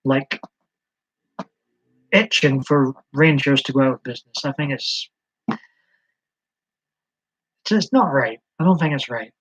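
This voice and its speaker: A man's voice: mid-range voice, lively intonation, unhurried, long pauses, a bit bright, husky. Rambling Scottish man on a lo-fi stream. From Scotland. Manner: rambling, hesitant, frequent ums. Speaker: live-stream host. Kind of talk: rambling live-stream chat. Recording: slightly dull lo-fi stream audio.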